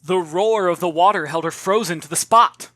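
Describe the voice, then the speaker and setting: An expressive audiobook narrator with a middle-pitched voice. The delivery is dramatic and carefully articulated, turning wry in the asides. The sound is very dry. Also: moderate pace, dry recording. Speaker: author reading own novel.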